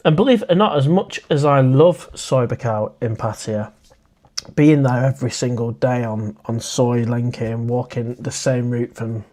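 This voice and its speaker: A man's voice: mid-range voice, moderate pace, darkish tone. A man, hosting a casual live stream and chatting in a friendly way as he reads out viewer comments. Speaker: livestream host.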